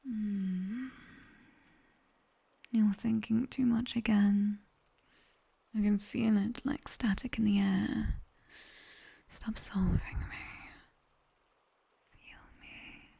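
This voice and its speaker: A British woman playing a sharp-tongued, teasing nightmare demon tutor in an ASMR roleplay, whispering breathily and intimately close to a binaural mic. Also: mid-range voice, fairly even intonation, gravelly, husky, heavily acted. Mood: teasing, fond. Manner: slow, intimate, teasing, commanding. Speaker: ASMR performer. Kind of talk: whispered ASMR roleplay, guided body scan. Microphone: close binaural ear microphone.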